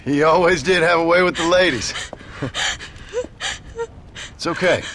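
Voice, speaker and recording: An actor playing a weary, sardonic Western gunslinger in a video game, with an American accent and a dry, gruff courtesy. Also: male voice, on the high side, unhurried, a bit bright, a little hiss or hum. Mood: wry, reassuring. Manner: dry, sardonic, drawling politeness. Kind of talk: video game cutscene acting.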